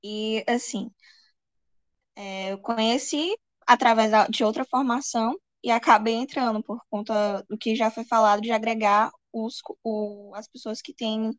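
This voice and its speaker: A woman introducing herself on a video call, nervous and searching for words, pausing often. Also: on the high side, steady tone, dry recording. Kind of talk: casual self-introduction.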